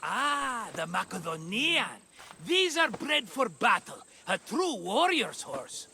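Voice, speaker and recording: A man's voice: very high voice, very animated, slow pace, vocal fry, pushed and bright, a little hiss or hum, heavily acted. An actor playing a jovial, scheming merchant in a video game cutscene, with a theatrical, wheedling salesman's manner. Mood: flattering salesman. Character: jovial, scheming male merchant and hapless vineyard owner.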